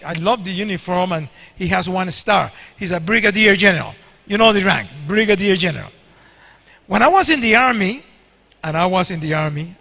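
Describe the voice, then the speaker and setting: Older male preacher in his sixties, Spanish his first language; high, bright voice, church hall echo. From Spanish-speaking country. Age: 60s. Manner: storytelling, asks the congregation questions. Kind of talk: sermon. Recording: long hall reverb.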